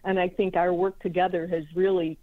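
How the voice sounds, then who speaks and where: A woman psychiatric nurse practitioner calling into a radio interview, her voice narrowed by a tinny, phone-like line. She sits fairly low in pitch and explains things fluently. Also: flat delivery, a little fast.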